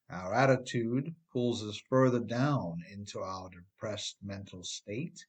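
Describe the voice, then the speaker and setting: Male author-narrator for a self-help audiobook, mid-pitched, instructive, addressing the listener directly. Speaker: author reading own book, narrator.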